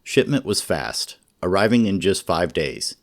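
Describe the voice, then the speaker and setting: A man narrating a scripted product review in a measured, informative way. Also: deep voice, sing-song. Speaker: radio reviewer.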